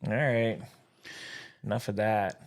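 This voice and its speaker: Low-voiced man ranting about baseball. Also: steady tone, slow pace, creaky, clean tone. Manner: exasperated, ranting, swings high in pitch. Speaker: podcast co-host. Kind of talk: casual sports chat.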